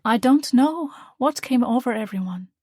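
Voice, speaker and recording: A woman reading the part of a calm, loving mother, speaking softly and tenderly. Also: fairly high pitch, normal speed, no echo, clean studio sound, pitched above the performer's own voice. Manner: soft, tender, reassuring.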